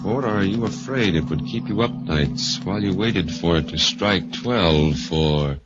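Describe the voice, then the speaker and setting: An actor playing an ominous, taunting narrator of a 1940s radio mystery, theatrical and sinister. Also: male voice, deep voice, expressive, unhurried. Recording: muffled 1940s radio recording with loud music.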